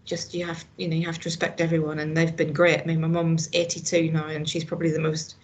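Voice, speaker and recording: A woman's voice: low-pitched, completely flat delivery, fast talker. A middle-aged woman from Northern Ireland with a Northern Irish accent, talking earnestly in a casual conversation, with lots of restarts. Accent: Northern Irish, slight Scottish. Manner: earnest, frequent "you know" and restarts. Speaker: hospital staff guest.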